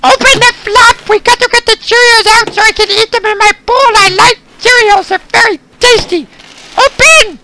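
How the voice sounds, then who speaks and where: Squeaky, frantic hungry cartoon dog. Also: normal speed. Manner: frantic, repetitive, pleading exclamations. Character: excitable, hungry, impatient pet dog. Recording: noisy recording with loud clicks.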